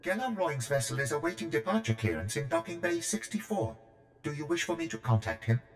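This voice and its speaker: An actor playing a polite spaceport traffic droid in a video game, speaking in formal, procedural phrases. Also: male voice, mid-range voice, huge pitch swings, fairly quick, echoey room, soft background music. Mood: formal, helpful.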